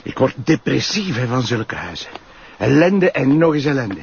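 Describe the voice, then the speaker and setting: An actor playing a glum, dry Flemish police sergeant, a sidekick in a TV crime drama. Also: male voice, medium pitch, very animated, moderate pace, gravelly. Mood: gloomy. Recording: dull TV soundtrack, noisy ambience.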